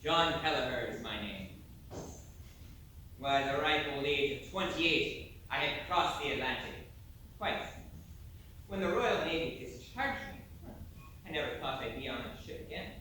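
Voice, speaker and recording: A man's voice: mid-range voice, animated, bright, forceful tone. An actor playing a hearty former navy sailor, telling his tale to an audience in a folksy, theatrical monologue. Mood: hearty, reminiscing. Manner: theatrical, folksy, dramatic pauses. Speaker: stage actor. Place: theatre stage. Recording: echoey stage from far off, faint noise.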